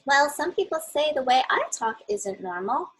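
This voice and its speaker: A woman's voice: sits high, sing-song, moderate pace, voice pushed up. A woman reading as a friendly waitress. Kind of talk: storybook read-aloud.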